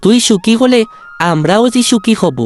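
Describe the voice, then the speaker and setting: A man's voice: sits high, lively intonation, normal speed. A man voicing a humble, loving middle-aged father in a cartoon, speaking earnestly. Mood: tender. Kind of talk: Bengali cartoon voice acting.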